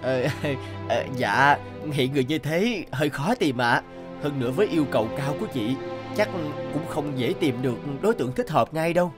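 A man's voice: a little high, lively intonation, normal speed, natural voice. A man voicing a polite, hesitant young matchmaking agent in a cartoon. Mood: apologetic, tactful. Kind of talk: cartoon voice acting. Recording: music behind the voice.

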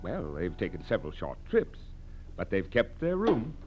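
A man's voice: lowish voice, huge pitch swings, normal speed, highs cut off. A genteel, fussy hotel manager in an old radio drama. His speech swoops up and down, polite and easily flustered. The recording is vintage, with a hum and light hiss. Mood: genteel, obliging. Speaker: actor playing a hotel manager. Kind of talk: 1940s radio acting.

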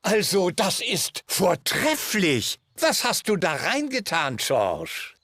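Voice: mid-range voice, huge pitch swings, slow, fairly bright tone, exaggerated voice. A performer voicing a jovial, indulgent old grandfather pig in a cartoon, hearty and theatrical, with faint music behind.

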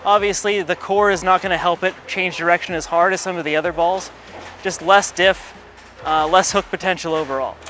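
A man's voice: sits high, steady tone, brisk, fairly bright tone, slight background noise. A man in his thirties gives a casual bowling ball review in a large bowling alley, muttering play-by-play between throws. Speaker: pro shop ball reviewer.

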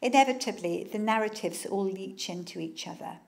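A woman's voice: mid-range voice, moderate pace, gravelly. A woman in her sixties, an author giving a keynote, reading expressively from her novels at a lectern microphone in a lecture hall. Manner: expressive reading, lively narration.

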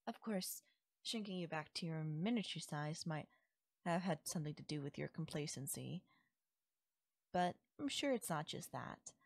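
A sweetly controlling fairy woman, acted by a voice actress for an intimate audio roleplay. Her voice is husky and close, with a crackle of fry and a very dry sound. She coaxes and teases with doting, possessive affection. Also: sits low, animated, normal speed, dry recording, voice pushed down, full character voice. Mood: sweet, smug. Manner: coaxing, affectionate, softly teasing. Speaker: voice actor. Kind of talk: intimate audio roleplay, ASMR-style acting.

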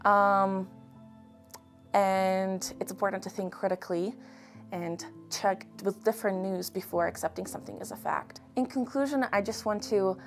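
A young woman from Ukraine answering interview questions earnestly, choosing her words with measured, hesitant pauses. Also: medium pitch. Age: young adult. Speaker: interview guest. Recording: music behind the voice. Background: background music.

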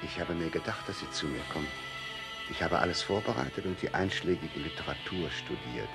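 An actor playing a studious, polite doctor in a radio play. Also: male voice, low-pitched, lively intonation, a little fast, vocal fry, loud music behind the voice. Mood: amused, polite. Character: studious doctor receiving a worried patient.

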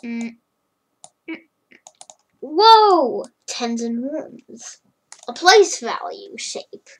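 A child narrating a homemade number-block animation in a slow, wondering way, with many hums and murmurs. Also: high-pitched, very animated, fairly bright tone.

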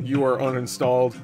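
A young man giving casual reaction commentary, exclaiming, swearing and tossing in joking asides. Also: mid-range voice. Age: young adult. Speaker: reaction video host. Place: small indoor room at home.

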